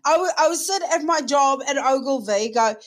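Brief: woman host, fairly high pitch, animated storyteller, salty language, acts out other people's lines. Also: fairly quick. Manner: animated, swears freely, acts out quoted voices. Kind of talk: casual comedic chat.

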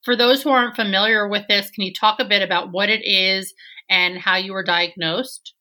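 A woman's voice: medium pitch, steady tone, normal speed, sharp tone. A woman from New York hosting a podcast interview, upbeat and enthusiastic. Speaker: podcast host.

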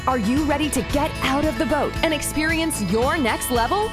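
A woman reading a scripted podcast introduction in a smooth, inviting announcer manner. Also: high-pitched, normal speed. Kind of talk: scripted show intro over music. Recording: music bed behind voice.

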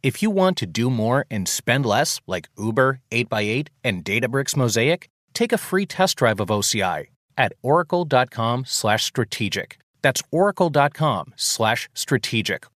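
A man's voice: mid-range voice, very animated, moderate pace, a bit bright, dry recording, studio quality. A man reading a scripted radio commercial as an announcer.

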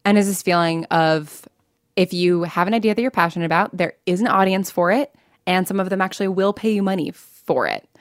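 A woman's voice: fairly low pitch, brisk, no echo, studio quality. An American woman speaking candidly as an interview guest, in a chatty, informal way with lots of "like" and self-interruptions. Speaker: interview guest, fiction podcast writer and producer.

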